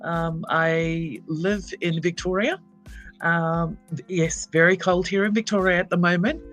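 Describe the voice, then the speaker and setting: A woman's voice: lowish voice, fairly even intonation, a little slow, fairly bright tone, soft background music, poor audio. A woman from Tonga who grew up in Australia, chatting as a guest on a livestream and sounding a little shy and giggly. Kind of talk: casual livestream chat.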